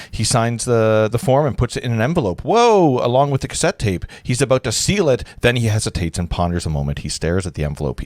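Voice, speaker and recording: A man narrating a script informally and haltingly as host of a livestreamed table read, often correcting himself. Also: on the low side, no echo. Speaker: host, reader of stage directions.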